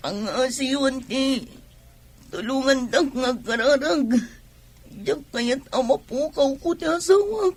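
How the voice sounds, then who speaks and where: A woman playing a distraught, pregnant young wife in a radio drama, speaking slowly and plaintively. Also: sits high. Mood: worried, sorrowful. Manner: slow, plaintive delivery. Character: distraught pregnant young wife grieving over injured husband.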